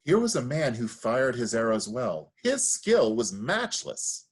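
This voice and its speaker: Husky, swooping chorus elder played by a man. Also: medium pitch, very animated, unhurried. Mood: reverent. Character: awed, grieving elder of the tragic chorus. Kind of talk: solemn theatrical verse reading.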